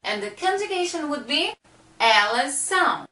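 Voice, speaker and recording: A woman from Brazil with a Brazilian accent, patiently teaching a language lesson and repeating words slowly. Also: high voice, sing-song, pushed and bright. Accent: Brazilian Portuguese. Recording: echoey room, mic at a distance.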